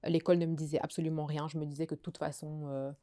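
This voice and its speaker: A young woman from Belgium talks through her life story as a podcast guest. Her voice sits low and mellow, and she pauses often with fillers and restarts her sentences. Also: level tone. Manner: hesitant, many fillers and restarts. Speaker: guest sharing her testimony. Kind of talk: casual conversational testimony.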